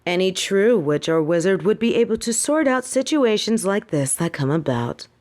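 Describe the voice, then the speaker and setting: A woman voicing a stern, calculating headmistress of a wizard school in an audio drama, speaking in a formal, measured, imperious way. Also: lowish voice, darkish tone. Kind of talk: scripted audio drama acting.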